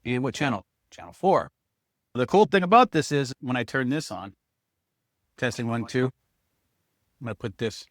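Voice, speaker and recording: A man's voice: mid-range voice, fairly bright tone, dry recording, studio quality. A man giving a casual hands-on product review, speaking to viewers and thinking aloud as he demonstrates.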